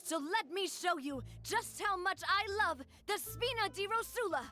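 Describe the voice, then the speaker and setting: High-voiced earnest young game heroine. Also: female voice, expressive, normal speed, bright, forceful tone, faint music behind, full character voice. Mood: resolute. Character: earnest, resolute young woman leader, emotionally wounded. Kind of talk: dramatic video game voice acting.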